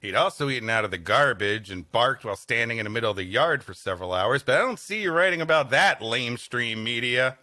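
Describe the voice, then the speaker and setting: Guy podcast cohost, bright lively voice, mock arguing and quipping. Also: mid-range voice, fast, hardly any pauses, no echo. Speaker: podcast co-host. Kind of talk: casual comic banter.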